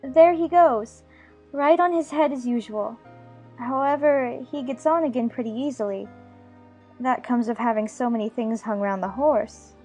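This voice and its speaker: A woman's voice: a little high, moderate pace, dark tone, faint music behind, lightly acted. A woman playing a polite, curious young girl in a dramatized storybook audiobook, on a slightly dull recording. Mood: musing.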